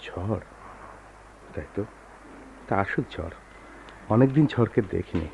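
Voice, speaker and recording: A man's voice: fairly low pitch, lively intonation, slow, darkish tone, full character voice. An actor playing a dreamy, nostalgic, touchy man in a Bengali radio drama, with theatrical, emotive delivery. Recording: dull lo-fi radio recording, clear noise.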